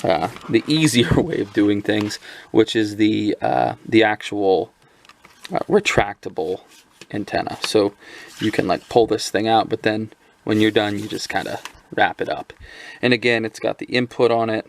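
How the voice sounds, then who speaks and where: A man's voice: lowish voice, steady tone, moderate pace, gravelly, a little hiss or hum. A man giving a casual, rambling product review, with many fillers and restarts. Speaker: radio gear reviewer.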